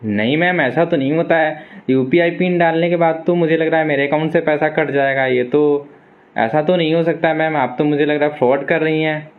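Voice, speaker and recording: A man's voice: fairly high pitch, steady tone, fairly quick. An insistent man in an argumentative phone conversation who keeps repeating his point. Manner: repetitive, insistent. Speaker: video creator recording a live phone call with a suspected scam caller. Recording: thin phone-like sound.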